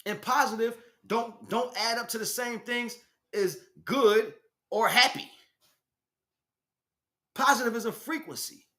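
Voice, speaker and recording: A man's voice: very high voice, measured pace, pauses often, pushed and bright. A man giving an impassioned, informal spiritual lecture in a blunt, emphatic street vernacular, often asking rhetorical questions. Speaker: spiritual teacher, speaker.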